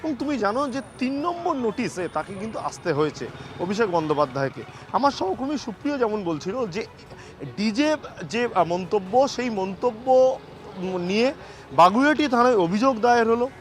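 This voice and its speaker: A man's voice: sits high, very animated, normal speed, a little hiss or hum. A man reporting live for the news off the cuff, in an emphatic, repetitive way with hesitant phrasing.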